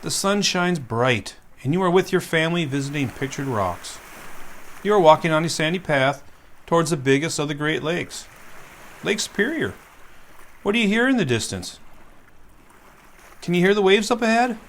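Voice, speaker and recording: Male park ranger reading a story to young kids, gentle and questioning, slightly raised voice. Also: a little high, animated, normal speed, pauses often, a little hiss or hum.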